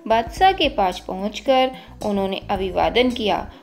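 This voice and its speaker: A woman's voice: medium pitch, lively intonation, a little slow, vocal fry. A woman narrating a scripted children's story as the host of a storytelling channel. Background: background music.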